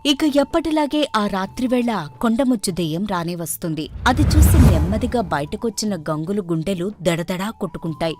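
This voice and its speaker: A woman's voice: medium pitch, darkish tone. A woman storyteller reading scripted narration for a children's moral story.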